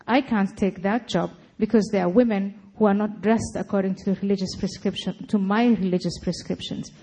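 A woman giving a public talk, arguing her case forcefully. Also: mid-range voice, fairly even intonation, a little fast, breathy edge, poor audio. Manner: forceful, argumentative. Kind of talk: lecture and debate answering.

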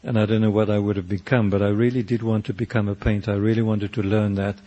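A South African man past middle age, his native tongue Afrikaans, speaks in a low, slightly husky voice. He answers questions slowly and reflectively, circling back and restarting his sentences as he searches for words. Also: little pitch movement, warm tone, dull audio. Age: older. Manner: thoughtful, halting, many restarts and fillers. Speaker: poet and painter, interview guest.

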